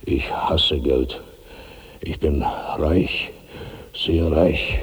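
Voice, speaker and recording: An actor playing a gigantic, self-pitying rich glutton of 65 in theatrical, grandiose 1960s radio drama style, swinging between brooding and giddy. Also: male voice, rumbling low, steady tone, very slow, husky, heavily acted. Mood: brooding, wounded. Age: older. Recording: reverberant 1960s radio studio recording.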